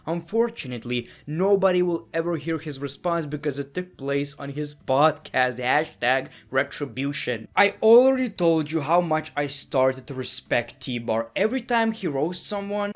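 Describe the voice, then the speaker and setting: A man from Lithuania with a Lithuanian accent, hosting a joking, sarcastic wrestling reaction commentary that swings from loud bursts to mumbled asides. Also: on the high side. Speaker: YouTube wrestling reaction host. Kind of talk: casual comedic commentary.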